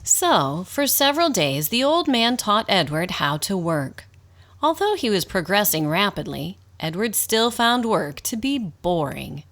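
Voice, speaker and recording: A woman acting as the narrator of a radio drama, telling a scripted story in a slightly formal, expressive storybook style. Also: medium pitch, measured pace. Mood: storytelling. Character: storybook narrator, wry and unhurried.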